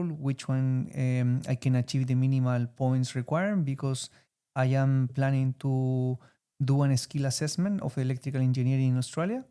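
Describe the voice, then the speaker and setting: Chilean man, smooth and mellow voice. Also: medium pitch. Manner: halting, searching for words with frequent fillers. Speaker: guest, former student. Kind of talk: interview answers.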